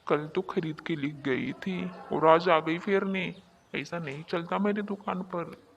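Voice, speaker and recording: Man acting slow-talking gruff sari shopkeeper. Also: a little high, fairly even intonation, dull audio, a little hiss or hum. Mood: annoyed. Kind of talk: animated moral story acting.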